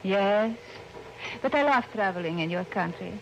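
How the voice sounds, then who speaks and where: A woman's voice: medium pitch, expressive, moderate pace, muffled recording, close to an everyday voice. A woman acting as a poised, polite young Swiss policewoman in an old radio drama, speaking in a formal manner. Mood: friendly. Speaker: visiting policewoman. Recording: noisy old-time radio recording.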